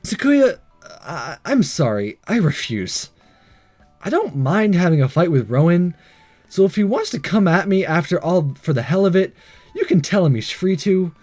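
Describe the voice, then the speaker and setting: Male let's player, slightly high animated voice, reads visual novel text casually with sarcastic asides, faint music behind. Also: moderate pace. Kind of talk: reading visual novel aloud with casual commentary.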